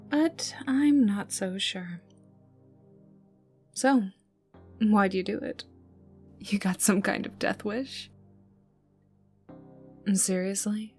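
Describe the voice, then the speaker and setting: A woman playing a menacing, teasing young enforcer for a crime boss in an ASMR roleplay, taunting the listener in a breathy voice that dips toward whispers. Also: mid-range voice, darkish tone. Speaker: voice actor in audio roleplay. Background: ambient music and mild sound effects.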